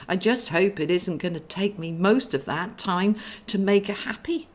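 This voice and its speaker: A woman's voice: medium pitch, normal speed, pitched above the performer's own voice, natural voice. A woman voicing a fretful, protective young female guardian angel in a storybook, chatty and earnest. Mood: worried. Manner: chatty, earnest, easily excited.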